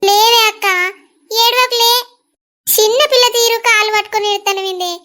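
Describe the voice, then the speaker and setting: A woman plays a devoted younger sister from a village family drama. Her voice is very high and bright, with a Telangana accent and an affectionate, pleading delivery. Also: steady tone, moderate pace. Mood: pleading, tender. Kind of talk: rural family drama acting.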